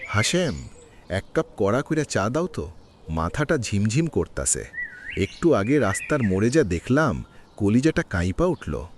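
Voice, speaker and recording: A man's voice: fairly low pitch, big pitch swings, a little slow. Here is a man acting a weary old village man from a cartoon drama. His Bangladeshi rural Bengali rises and falls widely as he grumbles plaintively. A faint noise sits behind the voice. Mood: shaken, indignant. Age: older. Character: weary, aggrieved elderly village man lamenting hard times. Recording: faint background noise with clicks.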